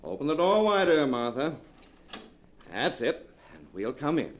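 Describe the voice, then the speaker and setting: An actor playing a scheming nephew in a 1940s radio drama, speaking in a suave, mocking way. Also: male voice, fairly high pitch, big pitch swings, measured pace. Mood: sardonic. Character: scheming nephew, smooth and sardonic. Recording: old radio broadcast recording.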